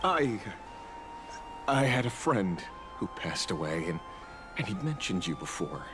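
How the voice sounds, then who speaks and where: A man's voice: low voice, sing-song, over music. An actor playing a hesitant, troubled young man seeking help in a video game, stammering as he speaks. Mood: timid, troubled. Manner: halting, stammering.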